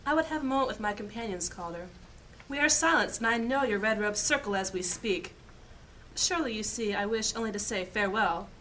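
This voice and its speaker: A stern, high-ranking mage lady, as an actress plays her in a fantasy audio drama, snapping out orders with cool authority. Her voice is bright and cutting, pitched right in a woman's middle range. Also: a little fast, a little hiss or hum, lightly acted, rough recording. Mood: commanding. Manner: authoritative, clipped commands.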